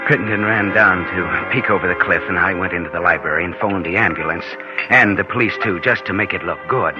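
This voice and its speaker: An actor playing a scheming, stammering nephew and impostor in 1940s-style radio suspense, sliding between narration and agitated, defensive protest. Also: male voice, low-pitched, fairly quick, close to an everyday voice. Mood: cool, confiding. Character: scheming impostor nephew, nervous, glib, feigning shock. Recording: dull old radio recording, music behind.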